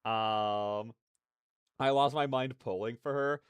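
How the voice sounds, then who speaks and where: A man's voice: medium pitch, slow, clear, resonant tone, no room sound. A man giving casual, effusive, slangy reaction commentary as a streamer, often repeating his phrases.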